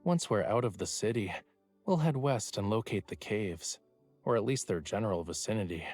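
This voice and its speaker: Nonchalant young man, lowish pitch, playful teasing, romance game adventurer, background music. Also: expressive, normal speed, a little mellow. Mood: calm, casual. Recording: music behind the voice.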